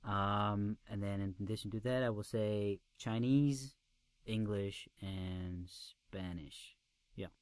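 A man's voice: lowish voice, steady tone, slow. A man from Belgium whose first language is Dutch, speaking English modestly as a podcast guest and often repeating words while he thinks. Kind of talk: casual interview chat.

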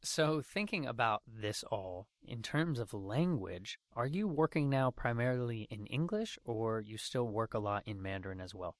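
A man's voice: mid-range voice, animated, normal speed, hardly any pauses, dry recording, studio quality. A man interviewing a guest, affirming her answers and leading into questions with frequent fillers. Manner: affirming, with frequent "you know" and "I love that". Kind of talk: interview chat.

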